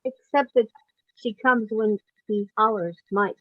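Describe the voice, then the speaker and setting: A woman's voice: medium pitch, measured pace, no room sound. A woman chatting casually with fellow cast members on dry, slightly muffled audio. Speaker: cast member in a post-show discussion. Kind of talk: casual group conversation.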